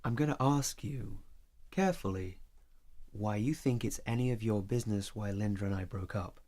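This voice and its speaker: Guy acting evasive workaholic boyfriend in an audio play, measured and emphatic, natural delivery. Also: lowish voice, darkish tone, close to an everyday voice. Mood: guarded, irritated. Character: career-driven male lover, evasive and defensive.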